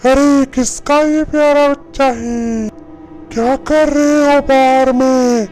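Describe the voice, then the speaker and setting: A woman voicing a kind-hearted old witch in a Hindi cartoon story, with drawn-out questioning delivery. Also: sits high, fairly even intonation, a little mellow, a little hiss or hum, poor audio. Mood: eerie, curious. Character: kind-hearted old female witch who scares villagers.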